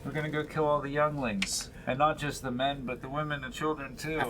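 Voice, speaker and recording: A man's voice: a little high, fairly even intonation, fairly quick, background noise. A man playing a tabletop game, chatting casually and jokingly with slangy, profane wording.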